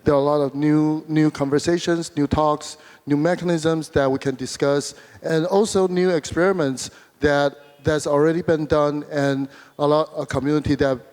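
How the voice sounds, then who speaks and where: A man's voice: on the high side, steady tone, moderate pace, a little mellow, smooth. A man from Taiwan speaking as a panelist and organizer, hesitant and repeating words as he goes. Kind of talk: casual panel talk. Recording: long reverb of a large hall.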